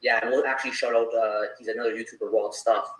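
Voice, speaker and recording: A man's voice: medium pitch, fairly even intonation, quick pace, fairly bright tone, reverberant, low-quality recording. A man with a Canadian accent chatting casually as a guest YouTuber on an interview show. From Toronto area, Canada.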